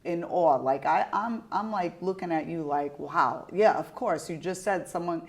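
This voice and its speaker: Brash woman podcast host, lowish voice. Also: normal speed. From United States. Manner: animated storytelling, swearing, laughing. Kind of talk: casual interview chat.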